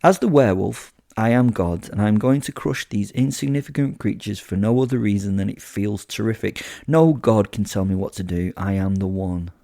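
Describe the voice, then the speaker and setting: A man's voice: lowish voice, normal speed, vocal fry, few pauses, warm tone, no echo, studio quality. A man voicing a sadistic, gleeful werewolf killer who tells horror audio drama in the first person, with flippant, theatrical asides. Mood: gloating, matter-of-fact. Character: sadistic, gleeful male werewolf serial killer narrating his kills.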